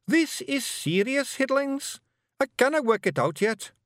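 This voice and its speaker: Man putting on a high anxious young pictsie. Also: big pitch swings, unhurried, no echo, studio quality, exaggerated voice. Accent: Scottish. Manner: earnest, anxious. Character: young nervous male pictsie apprentice bard.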